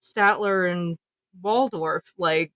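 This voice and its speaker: A woman's voice: fairly low pitch, slow pace, a bit bright, dry recording. A woman co-hosting a podcast in a casual, blunt, joking way with free swearing. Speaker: podcast co-host. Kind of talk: casual chat.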